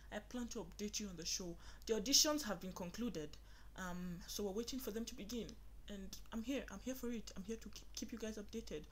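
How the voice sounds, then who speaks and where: A young woman with a Nigerian accent, chatting earnestly to viewers in a casual vlog from her bedroom. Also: mid-range voice. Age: young adult. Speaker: vlogger. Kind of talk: casual get-to-know-me vlog. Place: small bedroom indoors.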